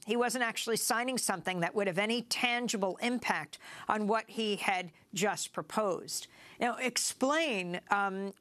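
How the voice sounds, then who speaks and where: A woman's voice: mid-range voice, a bit bright. A woman with an American accent presenting the news and interviewing as a program host, often reading from prepared copy.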